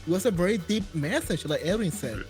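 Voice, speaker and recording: A man with a fairly high voice hosts a casual chat. He sounds enthusiastic and tends to repeat himself, with faint music underneath. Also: sing-song, fairly quick. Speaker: host.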